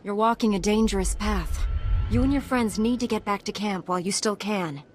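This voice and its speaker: An actress playing a resolute, composed young apprentice heroine in a video game. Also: female voice, mid-range voice, moderate pace, a little hiss or hum, close to an everyday voice. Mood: earnest. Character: resolute young female Jedi apprentice hero.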